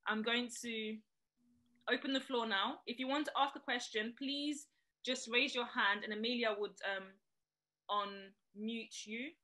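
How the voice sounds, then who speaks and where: A woman hosting a webinar over a video call, moderating questions in a friendly, brisk way. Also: fairly high pitch, fairly even intonation, pushed and bright. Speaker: webinar host.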